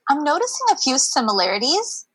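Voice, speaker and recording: A woman's voice: high voice, very animated, a little fast, fairly bright tone, rough recording. A woman teacher leading a story discussion for children online, encouraging and explaining simply.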